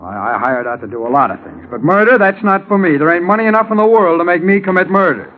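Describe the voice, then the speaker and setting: High-pitched, whining American radio thug. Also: male voice, animated, fast. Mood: pleading, evasive. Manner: wheedling, streetwise, protesting. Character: shifty male hired thug, cornered, whining, brazen liar. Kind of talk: old-time radio crime drama acting. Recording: tinny, hissy old radio recording.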